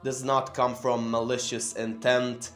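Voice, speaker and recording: A man's voice: medium pitch, little pitch movement, a little slow, fairly bright tone. A man hosting a commentary channel, narrating in a sarcastic, scornful way. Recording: roomy room, faint music behind.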